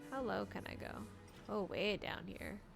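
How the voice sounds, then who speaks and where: A woman doing casual, expressive live gameplay commentary, talking to herself and to the game as she plays. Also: medium pitch, moderate pace, creaky, music in the background. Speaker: game streamer.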